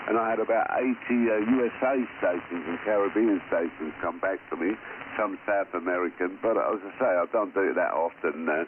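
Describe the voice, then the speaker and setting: Older retired guy on a ham radio net, very low voice, rambly, tinny radio sound with hiss. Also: brisk. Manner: rambling and hesitant, spells call signs phonetically. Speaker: amateur radio operator. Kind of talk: amateur radio net chat. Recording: tinny shortwave radio with static.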